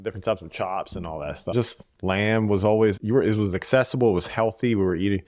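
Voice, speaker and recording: Deep-voiced man, measured and reflective. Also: a little mellow, dry recording. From Bosnia. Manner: measured, repeats key phrases. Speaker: guest. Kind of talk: reflective interview conversation.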